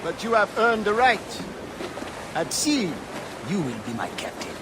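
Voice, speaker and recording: Eager rebel leader in a video game, high voice, really animated, asking earnestly. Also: male voice, unhurried, background noise. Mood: earnest, respectful. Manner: earnest, inquisitive. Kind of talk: video game dramatic acting.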